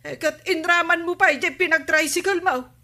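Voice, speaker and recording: A woman playing a sharp-tongued, scolding village woman in an Ilocano comedy radio drama, argumentative and exasperated, with an Ilocano accent. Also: very high-pitched, moderate pace, exaggerated voice. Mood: exasperated, haggling. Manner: nagging, argumentative.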